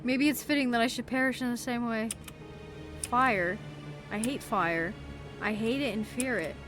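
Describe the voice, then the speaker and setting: A woman's voice: normal speed, music in the background. A young woman playing a game on a desk microphone, reading the game text aloud and screaming and swearing in shock. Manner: reads game narration in a lower, quieter tone. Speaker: let's play gamer. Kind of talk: game playthrough commentary with read-aloud game text. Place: home gaming desk.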